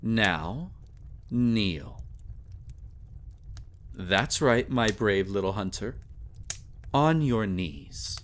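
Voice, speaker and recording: A man's voice: on the low side, slight background noise. A man playing a sadistic, possessive vampire in an intimate roleplay audio drama, with a commanding, condescendingly tender purr. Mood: commanding, menacing. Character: sadistic, possessive male vampire, silky and menacing.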